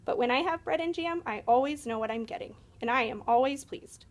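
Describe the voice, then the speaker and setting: A woman's voice: high voice, brisk. A woman voicing a stubborn, curious young girl in a storybook, singsong and matter-of-fact. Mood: curious, insistent.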